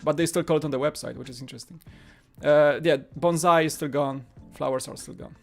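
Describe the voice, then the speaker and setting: A man's voice: on the high side, steady tone, fairly quick, gravelly. A man in his thirties, a native Polish speaker, hosting a casual livestream in a rambling way, often repeating phrases. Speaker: livestream host. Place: small home studio room. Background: background music.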